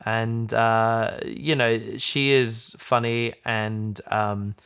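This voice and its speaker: Clear-voiced man hosting a casual podcast. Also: fairly low pitch, steady tone, a bit bright. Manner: halting, hesitant phrasing. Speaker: podcast host and show creator. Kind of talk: casual chat.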